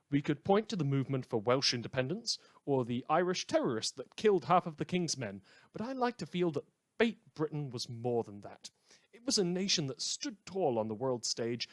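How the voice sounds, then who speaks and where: A wry, opinionated radio newsreader in an audio drama, acted by a man, with a somewhat high voice that rises and falls dramatically. He delivers scripted bulletins in a theatrical, dryly ironic announcer style. The sound is close and dry. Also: no echo. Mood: mock patriotic. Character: wry, opinionated male host of post-apocalyptic community radio. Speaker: radio host.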